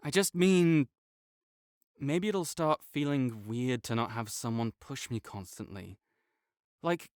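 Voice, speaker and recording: A man's voice: medium pitch, darkish tone, no echo, studio quality, lightly acted. A young man playing a prickly male academic rival who is grudgingly kind, talking teasingly and defensively to a silent listener in an audio roleplay.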